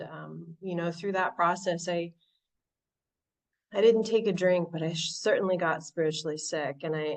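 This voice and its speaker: A low-pitched American woman shares her recovery story at an online meeting, thoughtful and halting with frequent restarts. She sounds set back from the microphone, a little distant in the recording. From Southern California. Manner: reflective, halting, many restarts and fillers. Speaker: speaker sharing at a recovery meeting.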